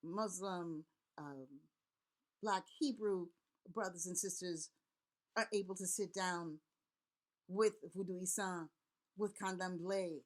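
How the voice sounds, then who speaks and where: Earnest, rallying middle-aged woman from Haiti. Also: on the low side. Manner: earnest and rallying, with repeated words and lists. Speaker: Vodou priest and community elder. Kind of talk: impassioned spoken address to camera.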